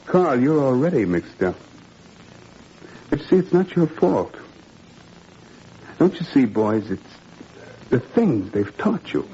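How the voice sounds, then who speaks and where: A man's voice: medium pitch, very animated, slow, pauses often, warm tone. A man playing an idealistic, troubled father in a 1940s radio drama, earnest and probing with wry irony. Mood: earnest, pleading. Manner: probing, earnest, wryly ironic. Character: idealistic French father, troubled, principled, quietly ironic. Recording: muffled, noisy old radio recording.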